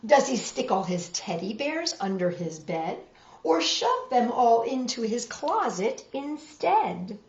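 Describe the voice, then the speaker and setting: A woman's voice: on the high side, sing-song, takes it slowly, echoey room, muffled recording. A woman hosting a children's storytime, reading aloud and chatting directly to young listeners.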